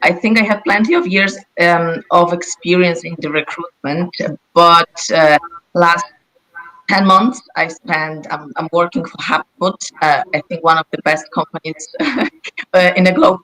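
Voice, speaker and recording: Polish-native woman recruiter, bright and chatty. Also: fairly low pitch, low-quality recording. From Poland. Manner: cheerful, chatty.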